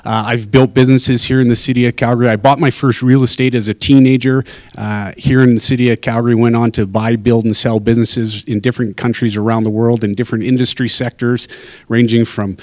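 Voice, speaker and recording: A man's voice: mid-range voice, level tone, brisk, darkish tone, dry recording. A man in his fifties running for mayor, giving a friendly, loosely delivered opening statement with frequent fillers. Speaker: mayoral candidate.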